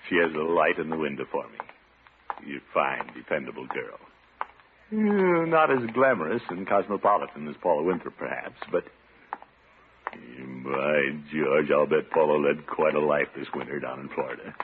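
An actor playing a pompous, blustery, flirtatious middle-aged bachelor uncle in a vintage radio comedy. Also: male voice, very deep voice, sing-song, moderate pace. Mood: smug. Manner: pompous, blustering, chuckling self-importance. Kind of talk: 1940s-50s radio comedy acting. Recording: tinny, noisy vintage radio recording.

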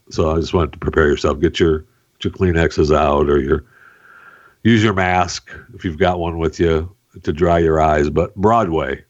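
Male podcast host, very low voice, dull lo-fi sound, joking, filler-heavy headline chat. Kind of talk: casual news chat.

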